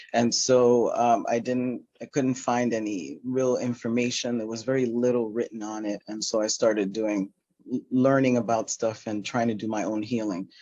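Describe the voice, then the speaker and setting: A man speaking on a panel talk as a nonprofit founder, halting, with many fillers and restarts. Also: medium pitch, darkish tone, dry recording, highs cut off.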